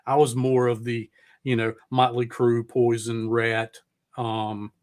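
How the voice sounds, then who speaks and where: A man's voice: medium pitch, little pitch movement, takes it slowly, darkish tone. A middle-aged man hosting an interview as a casual chat, informal and rambling, with the odd swear word. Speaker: podcast host.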